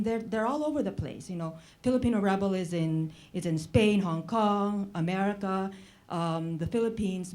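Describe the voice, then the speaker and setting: A woman's voice: fairly low pitch, a little mellow. A woman moderating an informal literary conversation, digressive and emphatic, restating her phrases as she works toward a question. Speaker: panel moderator, writer.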